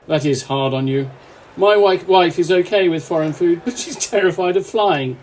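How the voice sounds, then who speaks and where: A man playing a courteous, quietly wry train passenger in a radio play, mild and conversational. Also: sits high, moderate pace, close to an everyday voice. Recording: faint noise.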